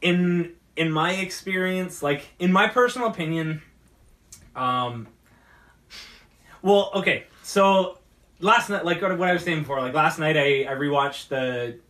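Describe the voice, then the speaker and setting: A man hosting a casual geek talk show, rambling about movies in a halting way and often repeating his words. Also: on the high side, a bit bright. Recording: distant from the mic.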